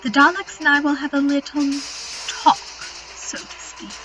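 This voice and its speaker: A woman's voice: high-pitched, steady tone, measured pace, fairly bright tone, faint music behind, low-quality recording. A woman playing a clever, resolute alien Time Lord who looks thirty, composed and explanatory, in a fan audio drama. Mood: urgent, resolute.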